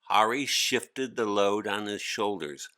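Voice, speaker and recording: A man giving an expressive, scripted read-aloud narration of a children's story. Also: fairly low pitch, slow pace.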